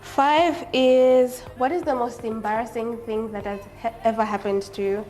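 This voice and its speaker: A woman from Zambia hosting an interview, asking friendly questions with soft fillers. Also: on the high side, steady tone, moderate pace, faint music behind. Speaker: interview host.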